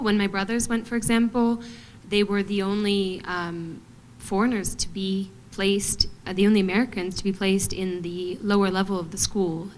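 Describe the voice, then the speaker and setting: A young woman from Montana speaks at a middle pitch, thoughtful and measured, pausing often with soft fillers. Faint room noise sits behind her voice. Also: rough recording. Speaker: guest artist, ballet dancer. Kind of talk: panel discussion answers.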